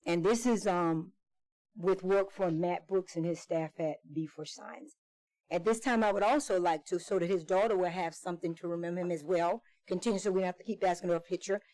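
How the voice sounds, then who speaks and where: A woman's voice: fairly low pitch, steady tone, brisk, a little mellow, no room sound, rough recording. A woman speaking for a committee in an informal public presentation, repeating and rephrasing for emphasis. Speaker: committee spokesperson. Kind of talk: informal public introduction speech.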